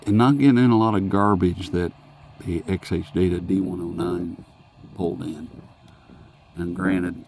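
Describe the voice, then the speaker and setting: A man's voice: low voice, a little mellow. A man chatting casually through a hands-on gadget review in a rambling, folksy, self-mocking way, as a hobbyist reviewer.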